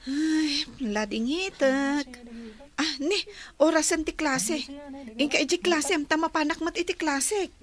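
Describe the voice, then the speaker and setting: High-voiced young Ilocano student. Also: female voice, measured pace. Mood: sympathetic. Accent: Filipino, Ilocano. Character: sympathetic, gentle young female college student. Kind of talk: radio drama acting.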